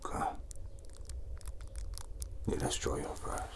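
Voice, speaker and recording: A man playing an enigmatic old Viking village elder in a whispered ASMR roleplay, with a put-on Nordic accent and a cryptic, solemn, halting delivery. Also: natural voice. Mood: solemn, guiding. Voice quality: breathy, whispered, very low.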